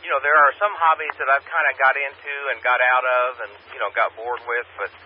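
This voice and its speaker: A man's voice: mid-range voice, little pitch movement, fairly quick. Mature man on a dull, noisy radio. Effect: two-way radio transmission. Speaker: amateur radio operator. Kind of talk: casual chat over ham radio. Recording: dull, noisy scanner feed of radio repeater.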